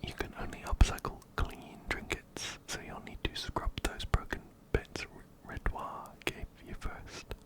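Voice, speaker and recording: Slow, whispering ASMR man. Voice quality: whispered, breathy, unvoiced. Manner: soothing, repeats words and phrases softly. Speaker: ASMR gaming host. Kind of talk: ASMR game playthrough, reading dialogue and narrating. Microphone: close-up binaural ear-to-ear mic.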